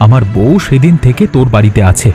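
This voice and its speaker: A man voicing a worried cartoon husband searching for his missing wife, earnest and mellow. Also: medium pitch, lively intonation, fast talker, over music, natural voice.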